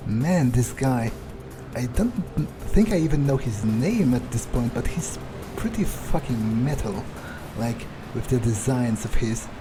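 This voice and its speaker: A man playing a wary, foul-mouthed vampire in an improvised video game roleplay, thinking aloud in halting, muttered asides. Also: medium pitch, natural voice, poor audio. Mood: impressed. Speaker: gamer in an in-character playthrough.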